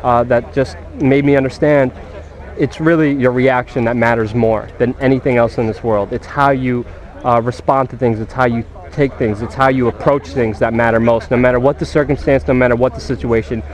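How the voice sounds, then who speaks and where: Even-toned man, medium pitch, outdoors. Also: fairly quick. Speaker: vlogger being interviewed. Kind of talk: casual personal reflection. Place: grassy park outdoors. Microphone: handheld camera.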